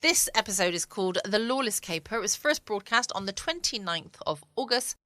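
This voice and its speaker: A woman's voice: medium pitch, animated, brisk, pushed and bright, a little hiss or hum. A woman with an English accent chatting casually and self-deprecatingly as host of a bedtime podcast. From England. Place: at home, quiet room.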